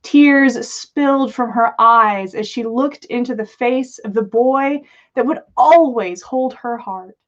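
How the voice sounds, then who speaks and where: A woman's voice: a little high, takes it slowly. A playful, giggly woman hosting a livestream, reading stories aloud and chatting casually. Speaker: podcast host reading listeners' stories aloud. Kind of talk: storytelling read-aloud with casual chat on a livestream. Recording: dull lo-fi livestream audio.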